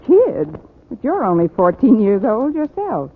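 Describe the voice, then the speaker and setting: An actress playing a wry, wisecracking schoolteacher with a dry, sardonic delivery in a 1940s radio comedy. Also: female voice, fairly high pitch, huge pitch swings, a little slow, slightly rough, full character voice. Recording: tinny, hissy old radio broadcast.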